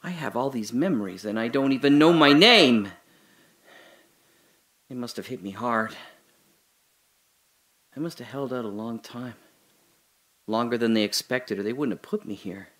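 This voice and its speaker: An actor playing a confused amnesiac man trapped alone in a hole, rambling and swinging between giddy laughter and hushed muttering. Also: medium pitch, normal speed, pauses often, close to an everyday voice. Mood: anxious rambling. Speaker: audio drama lead actor. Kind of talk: solo audio drama monologue.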